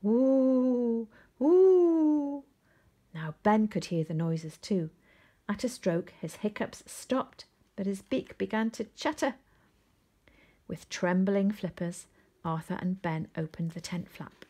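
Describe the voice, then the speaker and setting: Female storybook reader, expressive read-aloud, soft husky, breathy edge to the voice. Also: fairly low pitch, measured pace. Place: indoors at home, seated.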